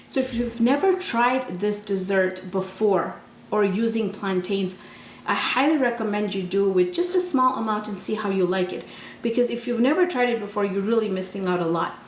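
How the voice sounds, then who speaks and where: A woman from Tanzania giving a casual, enthusiastic home cooking demonstration, repeating words for emphasis. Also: mid-range voice. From Tanzania, East Africa. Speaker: home cooking show host. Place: indoor kitchen. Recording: echoey room with faint background noise.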